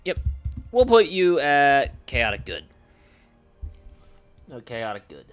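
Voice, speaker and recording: A man streaming casually, thinking aloud in a musing, hesitant way with pauses mid-thought. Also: medium pitch, wildly swooping pitch, clear, resonant tone, faint music behind.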